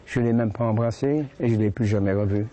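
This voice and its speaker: An elderly man in his eighties with a Belgian French accent from Brussels gives spoken testimony. His voice is mellow and dark, and he talks haltingly, restarting sentences and repeating words as he goes. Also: lowish voice. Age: 80s. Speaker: witness giving testimony. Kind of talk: first-person spoken recollection.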